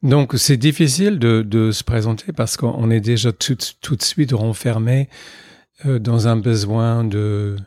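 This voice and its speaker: An American man from Boston speaking French with a slight accent, answering thoughtfully with many pauses. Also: mid-range voice, darkish tone, no echo, studio quality. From United States, Boston. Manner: hesitant and reflective, with many pauses. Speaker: guest.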